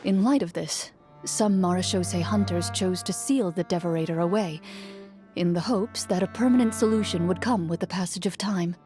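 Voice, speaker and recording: An actress playing a composed, stern female monster hunter in a video game, speaking in a measured, formal, unruffled way. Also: on the low side, over music, close to an everyday voice. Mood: cool, analytical.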